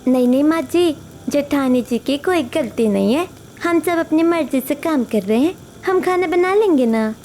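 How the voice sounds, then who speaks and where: A woman voices an insistent young city bride from a family cartoon. Her pitch is extremely high, and she speaks up helpfully and protectively. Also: animated, moderate pace, darkish tone, a little hiss or hum. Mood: eager, protective. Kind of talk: Hindi family cartoon story acting.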